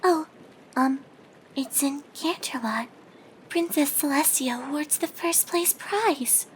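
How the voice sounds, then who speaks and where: A timid, gentle female cartoon pegasus pony. The voice is high and soft, with slow, hesitant delivery. Noticeable noise sits behind it. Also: rough recording. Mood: shy, hesitant. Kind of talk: character voice in fan fiction reading.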